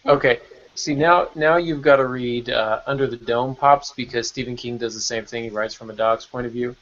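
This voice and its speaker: A man's voice: medium pitch, steady tone, normal speed, fairly bright tone, slight background noise. A man hosting a casual podcast panel chat in a joking, rambling way over an online call. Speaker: podcast host. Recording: dull lo-fi online call audio.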